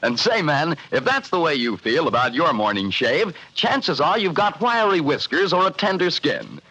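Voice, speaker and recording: A man's voice: a little high, very animated, normal speed, pushed and bright, breathy edge, no echo, rough recording. A male announcer reading a jaunty vintage radio commercial. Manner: jaunty, salesy.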